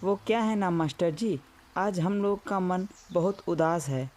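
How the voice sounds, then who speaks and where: A woman's voice: on the low side, a little slow, a little mellow, natural voice. A woman voicing an earnest, worried villager in a cartoon story. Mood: sad, worried. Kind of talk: Hindi cartoon story dialogue.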